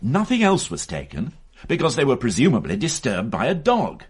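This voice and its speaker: An actor playing a shrewd, wry police detective in a radio drama who questions people in a dry, probing way. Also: male voice, medium pitch, huge pitch swings, moderate pace, slightly rough, exaggerated voice. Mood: insistent.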